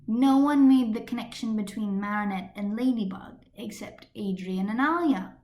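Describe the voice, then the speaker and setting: A woman's voice: medium pitch, normal speed, a little mellow. A woman reading a scripted story as an amateur narrator, recorded on a built-in computer microphone. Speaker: amateur fan fiction reader. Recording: echoey room on computer microphone.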